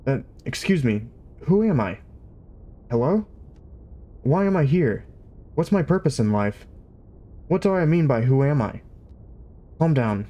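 A man's voice: mid-range voice, sing-song, a little slow, pauses often, warm tone, lo-fi sound, pitched above the performer's own voice. A man voicing a bewildered newborn whale in a storybook reading, chattering naive questions to itself. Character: bewildered newborn whale falling through sky.

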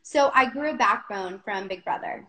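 A woman's voice: medium pitch, expressive, moderate pace, pushed and bright, echoey room, poor audio. A thirty-year-old woman speaking candidly and informally as a guest on a casual live chat, swearing now and then. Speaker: interview guest, reality show contestant.

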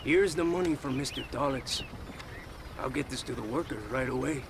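A man's voice: mid-range voice, normal speed, vocal fry, close to an everyday voice. An actor playing a cynical middle-aged foreman in a Western video game, with a sardonic, knowing delivery. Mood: knowing, sly. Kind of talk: video game cutscene acting. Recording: noisy outdoor game ambience.

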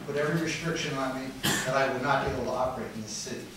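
A man's voice: mid-range voice, racing. Angry middle-aged man in echoey chamber. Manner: heated, accusatory, rising to near shouting. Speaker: public commenter at city council. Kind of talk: angry public comment. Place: large wood-paneled council chamber. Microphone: podium mic, recorded from far back in the room. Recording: distant, very echoey chamber, faint noise.

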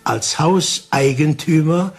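An actor playing an older male detective in a German crime radio play, calm, insistent and explaining things deliberately with dramatic pauses. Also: on the high side, vocal fry, breathy edge, soft background music, heavily acted.

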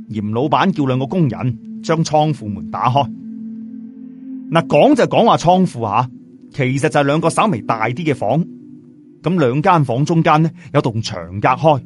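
A man narrating a story in an expressive, colloquial storyteller style, with a southern Chinese Cantonese accent. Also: medium pitch. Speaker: audiobook storyteller. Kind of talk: animated scripted storytelling narration. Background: background music.

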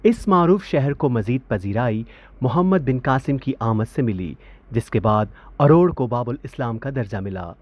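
Husky-voiced man presenting a vlog. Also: medium pitch, expressive, moderate pace, darkish tone. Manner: upbeat presenter on camera, formal narration in voice-over. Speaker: host. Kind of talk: travel vlog presenting and voice-over narration. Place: outdoors by a ruined site.

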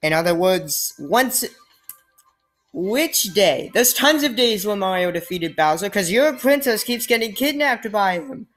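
A woman's voice: medium pitch, expressive, unhurried, soft background music. Sarcastic woman commenting on gameplay. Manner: sarcastic, reads dialogue aloud. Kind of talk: casual let's play commentary.

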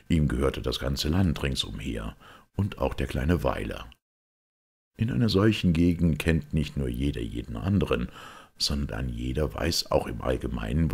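A man reading a literary detective story aloud as an audiobook narrator, in a measured, expressive storytelling delivery with a gravelly voice. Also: very deep voice. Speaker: audiobook reader.

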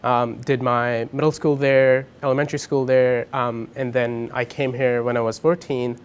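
A man's voice: mid-range voice, little pitch movement, normal speed, clean tone. A young man from India whose first language is Punjabi, answering interview questions in a casual, hesitant way with many ums and restarts. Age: young adult. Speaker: interviewee, college student. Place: dim indoor room with a lamp.

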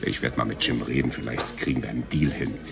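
Very deep-voiced flirt. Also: male voice, steady tone, quick pace, music in the background. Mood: flirtatious. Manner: smooth-talking, playful. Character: flirtatious, self-satisfied man angling for a date. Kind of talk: radio play acting.

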